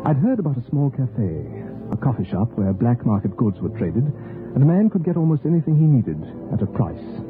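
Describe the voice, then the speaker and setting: An actor playing a fleeing king who narrates his escape in measured first-person storytelling, in an old radio drama. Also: mid-range voice, sing-song, lightly acted. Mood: tense. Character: fleeing male king in disguise, tense and resourceful. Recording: tinny old radio broadcast with music.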